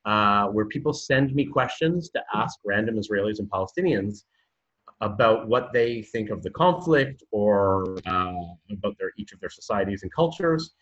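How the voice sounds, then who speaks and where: Canadian man, low voice, lo-fi audio. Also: normal speed. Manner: hesitant, many fillers and restarts, then animated storytelling. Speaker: guest, university programme director. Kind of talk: conversational podcast interview.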